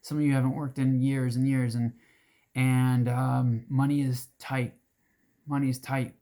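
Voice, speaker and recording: Male, hoarse and raspy, earnest, rambling advocacy organizer, mid-range pitch. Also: mellow. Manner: earnest, rambling with restarts and fillers. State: hoarse, throat-clearing. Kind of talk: casual direct-to-camera talk.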